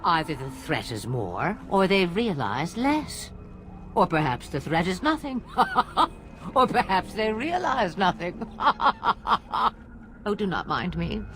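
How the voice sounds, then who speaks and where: Casting: cryptic old witch, fantasy game, slow swooping riddles, imperious mockery, faint noise behind. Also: lowish voice, big pitch swings. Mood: amused, mocking. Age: older. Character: cryptic old witch of the wilds, mocking, cackling. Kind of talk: fantasy video game acting.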